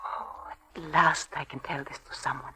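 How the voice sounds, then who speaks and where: An actress playing a fragile, frightened young Victorian wife in 1940s radio drama style, hesitant and pleading. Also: female voice, deep voice, steady tone, normal speed, pushed and bright, a little hiss or hum. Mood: confiding, anxious. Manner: hesitant, pleading, breaks off and repeats words.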